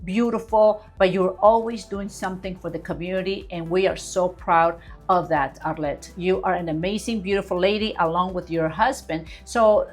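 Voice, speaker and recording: A woman's voice: fairly low pitch, steady tone, normal speed, soft background music. A woman hosting a podcast interview in a studio, gushing and effusive, heaping compliments on her guest. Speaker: podcast host.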